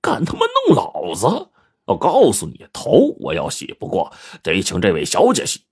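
A man's voice: low voice, huge pitch swings, moderate pace, vocal fry, darkish tone, slightly rough, full character voice. A man voicing a lecherous, bullying fat man with a leering, coarse, taunting way of talking in an audio story. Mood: angry, threatening.

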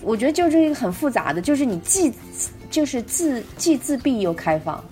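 Woman podcast host chatting, really lively intonation, repeats herself while figuring it out, soft music under. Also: sits high, a little fast. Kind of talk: casual discussion.

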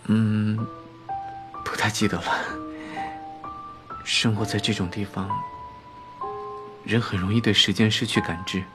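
A man's voice: lowish voice, steady tone, unhurried, pauses often, music in the background, natural voice. A man acting in a radio drama as a meek, poor young shop clerk with a hesitant, self-effacing way of talking. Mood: subdued, hesitant.

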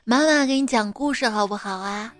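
A woman acts as a young mother in a comic bedtime story. She has a slightly high voice and a playful, teasing, coaxing way with her stubborn child. Faint music plays behind her. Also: fairly quick, lightly acted. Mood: coaxing, amused. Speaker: storyteller and performer. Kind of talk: comic bedtime sketch acting and narration.

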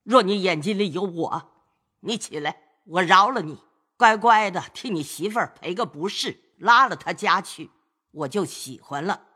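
Stern elderly matriarch for a storybook reading, lively pitch, commanding; a man doing her voice. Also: unhurried, slightly rough, exaggerated voice. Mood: scolding. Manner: scolding, commanding. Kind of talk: audiobook storytelling of a classic novel.

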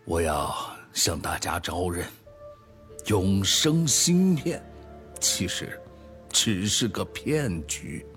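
Radio drama role: penitent male founder played by a man, deep, weighty, unhurried, confessing gravely. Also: lively intonation, fairly bright tone, faint music behind, exaggerated voice. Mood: solemn confession. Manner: grave, solemn, deliberate, sighing. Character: remorseful male tech founder confessing a fraud. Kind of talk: dramatic radio play monologue.

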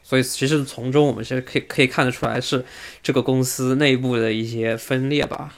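A man's voice: medium pitch, fairly even intonation, fairly quick. A man hosting a casual motorsport podcast discussion. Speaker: podcast host.

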